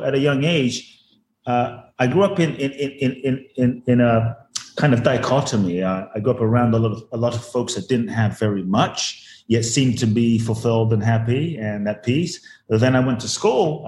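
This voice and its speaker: A man's voice: mid-range voice, a little mellow, echoey room, slight background noise. A man from Ghana, a spiritual teacher telling his life story earnestly in an interview over a video call, repeating words for emphasis.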